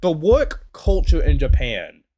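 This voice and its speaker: A man's voice: on the high side, very animated, a little slow, mellow. A twenty-year-old man from the United States giving casual, rambling reaction commentary, full of "you know" fillers. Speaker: YouTube reactor.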